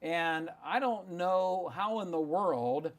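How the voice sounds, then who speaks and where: Semi-retired male radio host, high-ish voice, brisk and pushy with questions. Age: older. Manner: brisk, pushes guest with time limits. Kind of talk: talk radio interview.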